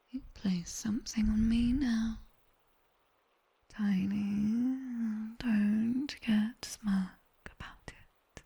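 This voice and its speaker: A British woman playing a sharp-tongued, teasing demoness tutor in a soft, whispered ASMR roleplay. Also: mid-range voice, husky. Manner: slow, hushed, teasing and bossy.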